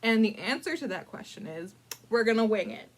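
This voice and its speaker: A woman hosting a casual storytime, chatty and informal, with playful, dramatic emphasis and drawn-out words as she tells a picture-book story. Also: on the high side, lively intonation, slight background noise. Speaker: librarian storytime host.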